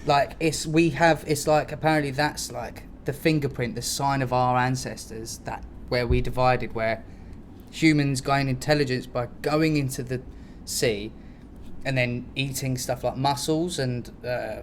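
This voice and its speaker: A man's voice: medium pitch, steady tone, unhurried. A man co-hosting a comedy podcast, chatting casually in a rambling, slangy, sweary way. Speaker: podcast co-host. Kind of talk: casual comic podcast chat. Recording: clear background noise.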